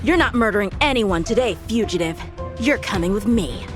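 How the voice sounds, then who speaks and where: A woman's voice: medium pitch, animated, a little fast, over music, lightly acted. An actress playing a bold, righteous young female warrior in an anime game, with a feisty, emphatic delivery. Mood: defiant. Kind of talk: dramatic anime game voice acting.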